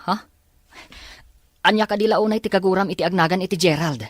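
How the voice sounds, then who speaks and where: A woman voicing an earnest, lovelorn young male student in an audio drama, with a husky voice. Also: lowish voice, brisk, no echo, clean studio sound, natural voice. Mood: pleading, puzzled. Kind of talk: Filipino audio drama dialogue in Ilocano.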